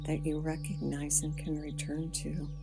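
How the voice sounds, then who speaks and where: A woman guiding a breathing meditation, speaking softly and soothingly with long pauses. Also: deep voice, completely flat delivery, gravelly, heavy background noise. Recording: music playing behind the voice. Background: soft background music.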